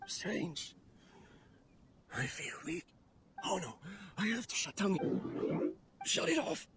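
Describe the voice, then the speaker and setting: A lonely, obsessive researcher from a video game, his voice pitched a little high. He speaks in a weary, wistful, brooding way, as if to his only creation. There is clear ambient noise behind him. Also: exaggerated voice. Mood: weakened, straining. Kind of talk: dramatic video game monologue.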